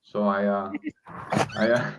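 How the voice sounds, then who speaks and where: A man in his forties hosting a podcast, bantering loudly and laughing, calling people "dog". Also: on the low side, flat delivery, slow. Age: 40s. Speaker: podcast host. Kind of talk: casual chat. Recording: dull lo-fi sound with faint noise.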